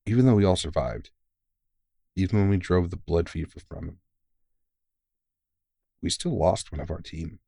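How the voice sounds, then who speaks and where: Man playing a deep-voiced, weary veteran vampire hunter. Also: normal speed, creaky, pauses often, no echo, clean studio sound. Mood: somber, earnest. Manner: reassuring, gently teasing, informal, sweary. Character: kindly, weary veteran male vampire hunter mentoring a rookie. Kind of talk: intimate ASMR audio roleplay.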